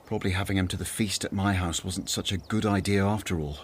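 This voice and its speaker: An actor with a Northern Irish accent playing Matthew, an earnest, gentle former tax collector, in a radio drama. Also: male voice, sits low, steady tone, fast, slight background noise, close to an everyday voice.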